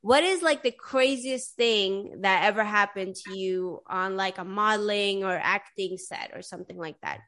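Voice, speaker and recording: A woman's voice: mid-range voice, normal speed, hardly any pauses, fairly bright tone. A young woman from Sweden hosting an interview show in a chatty, casual way, full of "like" and "you know". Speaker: podcast host.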